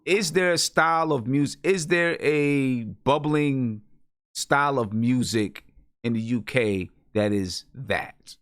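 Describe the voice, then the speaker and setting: A man's voice: medium pitch, slow pace, a bit bright. An American man hosting a music review show in casual, slangy conversation, giving opinions with some swearing.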